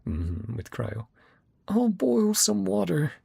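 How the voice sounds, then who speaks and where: A woman's voice: mid-range voice, very animated, normal speed, creaky, mellow, husky, no room sound. A woman game streamer giving casual let's-play commentary and reading game dialogue aloud. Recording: game music behind voice. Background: game music.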